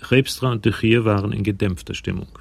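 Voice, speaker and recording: Deep, gravelly male crime-story narrator. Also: steady tone, a little fast. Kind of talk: scripted narration in a German radio crime drama.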